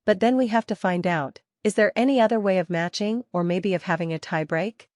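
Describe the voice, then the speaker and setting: A woman working as a software trainer, teaching interactively step by step, repeating key points and posing questions to learners. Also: mid-range voice, brisk, no room sound, studio quality.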